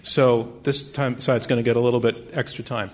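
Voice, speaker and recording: A man moderating a live debate, cutting in and pressing speakers for clear answers. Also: medium pitch, level tone, normal speed. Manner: interrupting, pressing for clarity.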